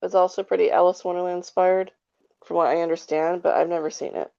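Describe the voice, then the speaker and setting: Low-voiced woman in casual discussion. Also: level tone, quick pace, gravelly, mellow, clear, resonant tone, dry recording. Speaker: podcast panelist. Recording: slightly dull, lo-fi audio.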